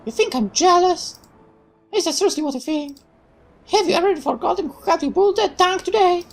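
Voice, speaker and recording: Woman doing a shrill, brash young mechanic girl. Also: animated, fairly quick, vocal fry, exaggerated voice. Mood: indignant. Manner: exclaiming, indignant, high-pitched. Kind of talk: reading visual novel dialogue aloud.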